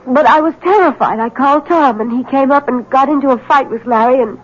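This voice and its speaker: An actress playing a troubled, proper young wife in a 1970s radio drama, earnest and hesitant in manner. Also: female voice, high voice, fairly quick. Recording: dull, noisy vintage radio recording.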